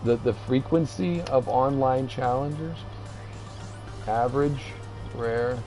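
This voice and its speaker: A man streaming a video game with casual, rambling commentary, haltingly thinking aloud as he reads the menus. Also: medium pitch, warm tone, faint music behind.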